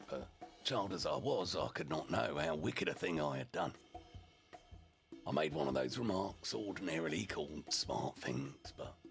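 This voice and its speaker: Very deep, gravelly male storyteller. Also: fairly bright tone. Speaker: storyteller, streamer narrating in character as a deputy. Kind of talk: humorous story reading, dramatic first-person.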